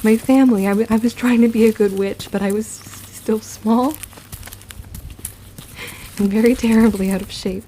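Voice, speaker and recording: A woman's voice: fairly high pitch, steady tone, moderate pace, long pauses, dark tone, slight background noise, lightly acted. An actress playing an earnest, bookish young witch in a period audio drama, speaking in formal old-fashioned phrases. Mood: shaken, confessional.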